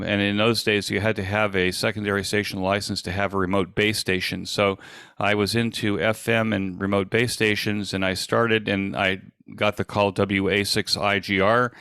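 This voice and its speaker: A man in his sixties with an American accent gives an informal online presentation in a halting, conversational, self-deprecating way. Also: lowish voice, a bit bright, clean tone, dry recording, studio quality. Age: 60s. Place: indoors at a desk, on a video call.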